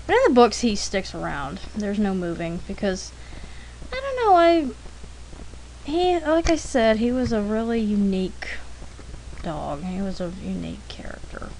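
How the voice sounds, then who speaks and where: A woman talks casually and informally at an unhurried pace, in the rambling way of someone telling anecdotes during an art demo. Her pitch sits in the middle range for a woman. The recording has faint noise behind the voice. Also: very animated, a little mellow, rough recording. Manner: chatty, informal, frequent throat clearing. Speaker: artist narrating a drawing demonstration.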